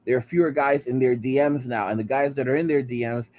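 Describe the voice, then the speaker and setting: A man's voice: medium pitch, steady tone, quick pace, a little mellow. A man in his late thirties hosting a commentary channel, ranting heatedly and repeating "all right?" between points. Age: 30s.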